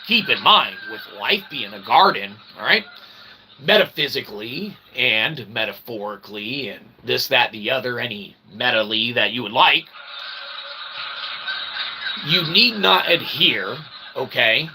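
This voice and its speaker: A man's voice: mid-range voice, animated, unhurried, pushed and bright, slight background noise, poor audio. A man giving a rambling, tongue-in-cheek lecture in wordy mock-academic phrasing, checking in often with small tags. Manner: wordy mock-academic phrasing with frequent "all right, okay" check-ins. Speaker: online philosophy show host.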